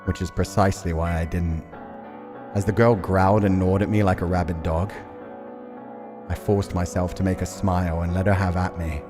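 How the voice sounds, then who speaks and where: A man with a very low voice hosts a visual novel playthrough. He moves between casual, thinking-aloud commentary and narrated reading, with music clearly playing behind him. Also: steady tone, normal speed.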